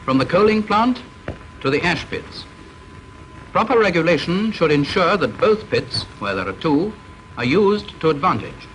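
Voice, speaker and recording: A man with a British accent giving formal scripted narration for a training film, measured, instructive and stern, on a vintage soundtrack with faint hiss. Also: on the high side, sing-song, fairly bright tone, rough recording.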